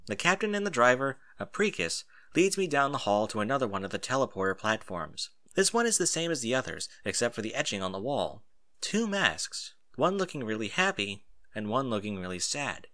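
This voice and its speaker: Animated man reading a story aloud. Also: mid-range voice, a little fast, dry recording, clean studio sound. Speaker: audiobook narrator. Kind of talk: first-person story narration.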